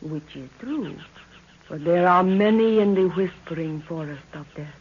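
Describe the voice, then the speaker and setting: A mysterious jungle sorceress of a 1930s radio serial. Her husky voice delivers formal, portentous phrases with grave authority. The old recording is muffled and lo-fi. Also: lowish voice, measured pace. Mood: solemn, mysterious. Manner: solemn, formal, speaks of herself in third person. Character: commanding mystical jungle snake woman, moon witch. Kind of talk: 1930s radio drama acting.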